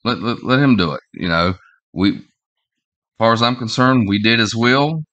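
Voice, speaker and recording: A man in his forties with a head cold that makes him sound nasal, talking in a halting, rambling way with many fillers and restarts. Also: mid-range voice, animated, a bit bright, dull audio. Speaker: online commentator. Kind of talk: casual opinion vlog. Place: small indoor room at home.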